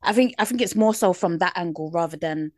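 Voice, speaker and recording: A young woman with a British accent in casual podcast chat, earnest and animated, often checking "do you know what I mean". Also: on the low side, a little fast, dry recording, studio quality.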